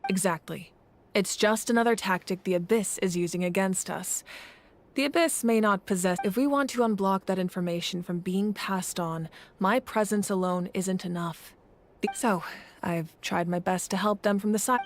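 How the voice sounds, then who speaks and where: A woman voicing a composed, commanding game heroine who leads a nation, speaking in a measured, solemn, authoritative way. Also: mid-range voice, slight background noise, close to an everyday voice. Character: composed, commanding female Pyro Archon, solemn leader of a nation. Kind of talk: dramatic game voice acting. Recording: music under the voice. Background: background music.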